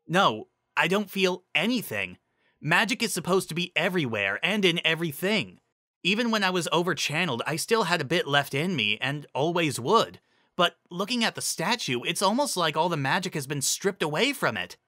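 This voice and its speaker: Man as an earnest, higher-pitched unicorn mare. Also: very animated, normal speed, sharp tone, dry recording, clean studio sound. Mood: uneasy, earnest. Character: female unicorn pony, gentle, curious, earnest scholar of magic.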